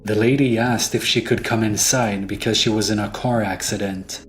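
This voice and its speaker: A man's voice: fairly low pitch, steady tone, moderate pace, a bit bright. A man narrating a scripted first-person horror story. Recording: music behind the voice.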